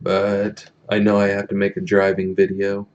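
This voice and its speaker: A young man with a deep voice chatting casually on a live stream from a small room, halting with many ums as he reads the chat. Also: completely flat delivery, muffled recording. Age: young adult.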